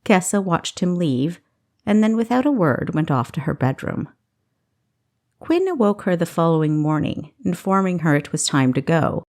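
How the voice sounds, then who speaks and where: A woman's voice: on the low side, lively intonation, moderate pace, darkish tone. A woman narrating a novel as an audiobook, reading expressively from a script.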